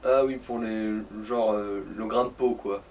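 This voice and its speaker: A man's voice: lowish voice, fairly even intonation, a little slow, a little mellow, recorded from a distance. Off-mic man chatting over background noise. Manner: crude joking slang. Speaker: let's play co-host. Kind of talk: casual gaming chat, reading menus aloud. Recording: off-mic, noticeable room noise.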